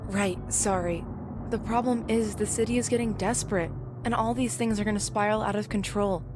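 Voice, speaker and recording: A tough young ex-military security woman, played by an actress in an audio drama. She is blunt and serious, with a protective, grumbling edge and a middling pitch. Music plays clearly behind her. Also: fairly even intonation, a little fast, close to an everyday voice. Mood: fed up. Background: background music.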